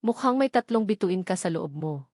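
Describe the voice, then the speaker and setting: A woman voicing a calm, cheerful, reassuring female doctor in an audio storybook. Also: fairly low pitch, moderate pace, no room sound, clean studio sound. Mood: upbeat reassurance. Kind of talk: dramatic audio storybook dialogue.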